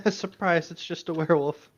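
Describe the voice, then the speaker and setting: A man's voice: a little high, fairly even intonation, moderate pace, highs cut off. A man chatting informally as a co-host, chipping in with casual, sweary reactions. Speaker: co-host reading and reacting to fan fiction. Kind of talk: casual chat and commentary.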